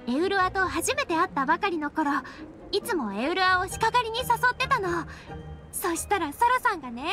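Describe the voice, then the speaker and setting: A perky young scout girl with a very high, bright, girlish voice and lively swings in pitch. She sounds eager, loyal and friendly, in anime game style. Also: full character voice. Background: background music.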